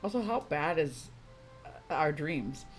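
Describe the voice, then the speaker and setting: Casting: female game streamer, low register, frank and chatty casual reactions, game music underneath. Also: expressive, slow pace. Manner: frank, chatty, mildly sweary.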